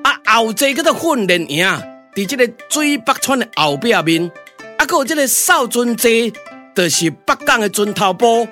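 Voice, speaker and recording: Know-it-all pioneer soldier, Taiwanese accent, bright forceful voice, theatrical explaining, puppet radio drama, music behind. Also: medium pitch, sing-song, moderate pace. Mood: explanatory, emphatic.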